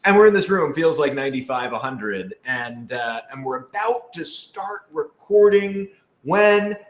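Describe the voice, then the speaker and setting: A man's voice: on the high side, animated, measured pace, a little hiss or hum. Playful guy cohost, casual chat, kinda theatrical storyteller, echoey room. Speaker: co-host reading out questions. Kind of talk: casual Q&A and anecdote telling. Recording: roomy, echoing indoor sound.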